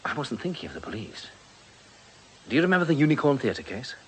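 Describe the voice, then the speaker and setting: An actor playing a courteous gentleman confidant in a radio drama, polite, reasoning and gently coaxing. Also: medium pitch, big pitch swings, fairly quick, slight background noise, low-quality recording. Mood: gently persuasive.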